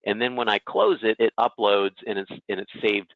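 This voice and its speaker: A man presenting an informal software webinar in a conversational way, often checking in with a quick okay or right. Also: lowish voice, dry recording, phone-quality sound. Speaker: presenter, legal technology consultant.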